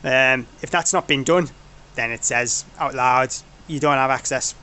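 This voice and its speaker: A man's voice: medium pitch, a little slow, pushed and bright, clear, resonant tone. A man giving an informal technical walkthrough as a product developer, rambling and correcting himself as he goes. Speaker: product developer presenting a demo.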